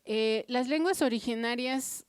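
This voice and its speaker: Clear, bright-voiced woman, native Purépecha speaker. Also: fairly high pitch, measured pace, no echo. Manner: earnest, reflective, with frequent "eh" fillers. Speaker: translator at a book presentation. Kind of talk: formal public remarks. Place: official venue before an audience.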